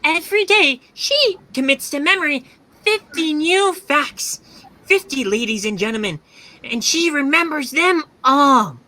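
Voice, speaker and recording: A man's voice: extremely high pitch, animated, a little slow, sharp tone, exaggerated voice. A man playing a grandiose, declamatory music hall announcer in a 1930s-style radio play. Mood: grand, proclaiming. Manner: declamatory, theatrical.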